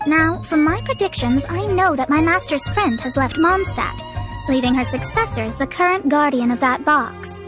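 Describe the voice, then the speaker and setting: A woman's voice: high voice, expressive, normal speed. A woman voicing a proud young female astrologist in a video game, speaking in a formal, theatrical, haughty way. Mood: confident, persuasive. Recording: music behind the voice.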